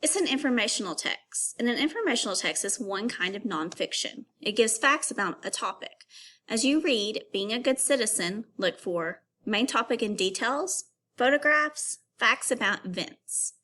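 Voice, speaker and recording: Female primary teacher for a kids' read-aloud: higher voice, very animated intonation, instructional, questioning the listener directly. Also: normal speed. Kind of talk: educational read-aloud for young children.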